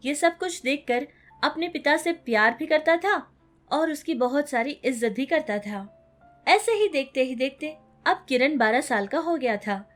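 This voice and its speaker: A high-pitched woman narrates a scripted moral story for children. Faint music plays behind her storytelling. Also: expressive, unhurried.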